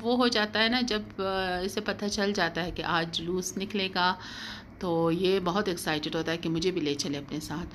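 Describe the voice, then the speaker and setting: Lower-voiced woman vlogger with background noise. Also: moderate pace, fairly bright tone. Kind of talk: casual home vlog narration. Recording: clear background noise, home ambience.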